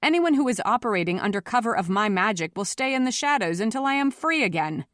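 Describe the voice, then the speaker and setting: A woman's voice: medium pitch, animated, moderate pace, no room sound, close to an everyday voice. Narrator lady doing proud mystic woman in an audiobook, cool n scornful, defiant, dry sound. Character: proud defiant female mystic facing down a mob. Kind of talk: audiobook character voice.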